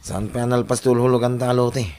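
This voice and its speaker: A man with an Ilocano accent playing a smooth-talking boyfriend who coaxes his sweetheart in a radio drama. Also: medium pitch, flat delivery, fast talker, a little mellow, lightly acted. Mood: coaxing. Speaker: actor in an audio drama.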